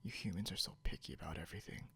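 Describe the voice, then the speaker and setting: A man playing a possessive, grudgingly caring non-human captor in an intimate ASMR roleplay, gravelly and often slipping into a whisper, muttering haltingly and condescendingly, with noise behind him. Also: low voice, pushed and bright, heavily acted. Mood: teasing, exasperated. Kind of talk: ASMR roleplay, intimate second-person acting. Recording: noticeable background hiss or hum.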